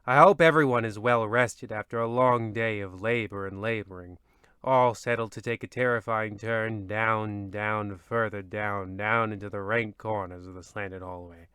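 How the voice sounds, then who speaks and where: An actor playing a petty, theatrical male narrator of an old-time radio horror comedy, with ominous drawn-out lines and peevish asides. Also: on the low side, fairly even intonation, sharp tone, clean tone, no room sound, studio quality.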